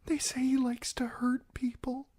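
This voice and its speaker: A woman voicing a frightened young bride who pleads in a high, trembling, breathy voice. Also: fairly even intonation, slow pace, mellow. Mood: desperate.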